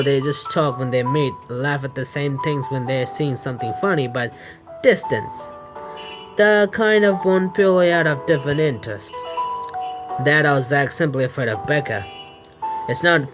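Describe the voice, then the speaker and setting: A man narrates a visual novel as he plays it. His voice is medium in pitch, and he reads informally, tripping over words and correcting himself. Game music is clearly audible behind him. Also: moderate pace. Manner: stumbling, informal reader who corrects himself. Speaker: gaming video host. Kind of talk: gameplay read-aloud narration with asides. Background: game background music.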